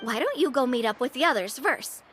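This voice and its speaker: Playful young woman, high voice, bouncy varied pitch, chirpy, casual, eager, video game character. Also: lively intonation, a little fast, slightly rough, soft background music.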